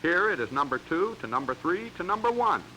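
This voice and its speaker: A man's voice: a little high, very animated, brisk, pushed and bright, slight background noise, rough recording. An American man reading scripted narration for a 1940s sports training film in an emphatic, instructional way, on a vintage soundtrack. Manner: crisp, emphatic, instructional delivery.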